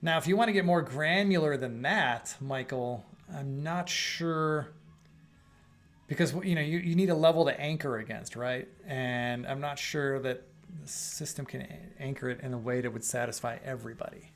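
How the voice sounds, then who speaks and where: A man's voice: mid-range voice, moderate pace. A middle-aged man hosting a live trading stream, answering viewer questions in a casual, rambling way with fillers and restarts, losing his voice a bit. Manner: conversational, thinking aloud with fillers and restarts. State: losing his voice, clearing throat. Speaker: host of live trading room.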